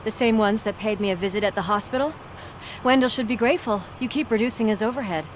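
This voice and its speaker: An actress playing a frank, wry police colleague in a video game, direct and dryly questioning. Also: female voice, mid-range voice, fast, a little hiss or hum, close to an everyday voice.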